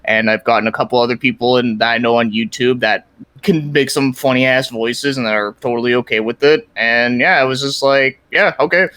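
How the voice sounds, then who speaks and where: Bright-voiced man chatting casually on a podcast. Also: medium pitch, fairly even intonation, fairly quick, smooth. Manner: rambling, peppered with "like" and swearing. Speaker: guest, creator of a fan parody series.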